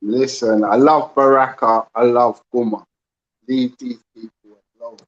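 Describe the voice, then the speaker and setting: A man's voice: mid-range voice, steady tone, unhurried, lo-fi sound. A man joking boisterously in a casual live panel chat.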